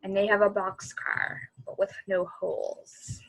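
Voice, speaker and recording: A woman reading a storybook aloud to children in an expressive, animated way, speaking directly to her young listeners. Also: mid-range voice, measured pace, creaky, rough recording.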